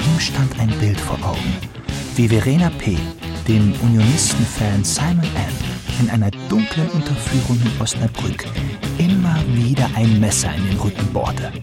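Sardonic detective, ironic, needling delivery, radio crime drama, guitar music behind. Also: male voice, medium pitch, animated, normal speed, vocal fry. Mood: brooding. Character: sardonic male police detective, theorising and needling suspects. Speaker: police detective.

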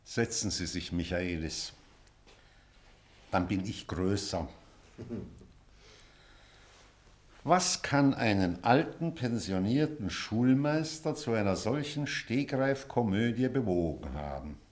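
An actor playing an elderly retired schoolmaster in a drama, pointed and deliberate, stern but tender toward a former pupil. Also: male voice, lowish voice, sing-song, darkish tone. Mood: probing, schoolmasterly. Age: older. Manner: deliberate, pointed, rhetorical repetition. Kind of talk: theatrical radio play dialogue.

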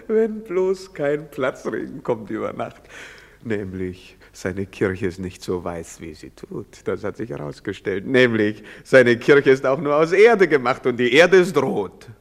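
An actor playing a leering, swaggering young soldier who mocks and taunts in a half-singing way. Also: male voice, medium pitch, lively intonation, measured pace, creaky. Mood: scornful. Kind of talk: stage play recording. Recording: long hall reverb.

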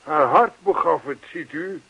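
An actor playing an earnest, lonely old widower in a radio play, talkative and old-fashioned, repeating himself. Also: male voice, on the high side, a little slow, creaky, breathy edge, highs cut off, full character voice. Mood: wistful. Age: older.

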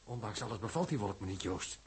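An actor playing a pedantic, sceptical spaceship navigator in a 1960s Dutch radio drama, husky, formal and precise. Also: male voice, on the low side, lively intonation, fast, crowd noise, natural voice. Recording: old radio drama studio recording.

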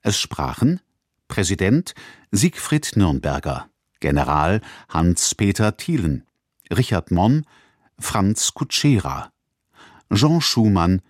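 A man reading scripted radio credits as an announcer, in a formal, measured manner. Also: lowish voice, very animated, no room sound, studio quality.

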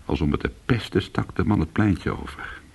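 An actor playing a weary, hard-boiled former cat burglar, telling his story in an intimate, brooding and deliberate way in a radio play. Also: low voice, animated, gravelly, darkish tone, slightly rough, heavily acted. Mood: agitated, tense.

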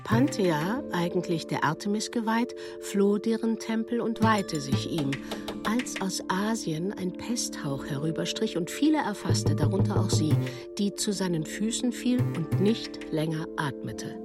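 A woman delivers scripted literary narration in the manner of a radio feature. Her pitch sits in the middle range, and music plays loudly behind her. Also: lively intonation, a little slow.

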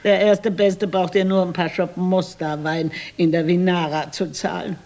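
Actress as a scolding, low-voiced old wife. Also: female voice, flat delivery, normal speed, warm tone, natural voice. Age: older. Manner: scolding, weary, sardonic. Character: nagging, sharp-tongued old wife of seventy-one. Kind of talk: German radio play acting.

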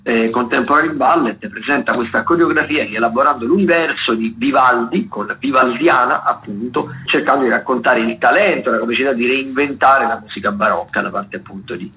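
A man's voice: medium pitch, pushed and bright, a little hiss or hum, low-quality recording. A man hosting a radio show, running informally through event listings in a hesitant, digressive way. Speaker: radio host presenting theatre listings.

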